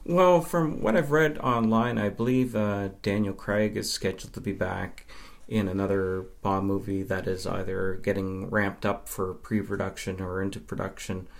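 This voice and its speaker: Low, creaky-voiced man from Nova Scotia. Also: steady tone, normal speed. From Nova Scotia, Canada. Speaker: podcast guest, film fan. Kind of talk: casual interview chat.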